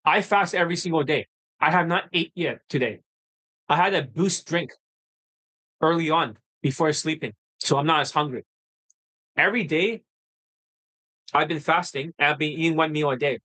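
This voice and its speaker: A man's voice: on the high side, fairly bright tone, rough recording. A man coaching on dating in a livestream monologue, blunt and lecturing, swearing casually.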